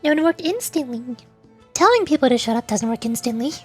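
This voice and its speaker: Squeaky, very high-voiced girlish woman streamer. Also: sing-song, brisk, slightly rough, faint music behind. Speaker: streamer and VTuber, voice actor. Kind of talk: casual livestream chat with viewers.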